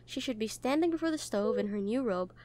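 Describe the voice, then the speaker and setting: A fairly high-pitched young female nurse, played by a woman in an audio roleplay. She is caring and protective of her patient. Her delivery is gentle, intimate and conversational, with a hesitant edge. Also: lively intonation, fairly quick, lightly acted. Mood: calm reading.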